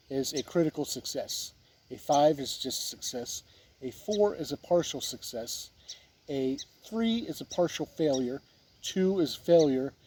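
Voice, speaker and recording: A man giving a casual, unscripted review as a video host, plain-spoken with halting phrasing, with wind on the recording. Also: medium pitch, a little mellow. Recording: wind noise on the mic.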